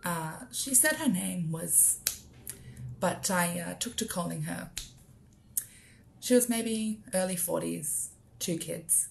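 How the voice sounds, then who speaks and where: Low, creaky-voiced Australian woman reading. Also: expressive, takes it slowly, pauses often, a little hiss or hum, rough recording. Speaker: writer performing own work. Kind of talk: literary spoken-word reading.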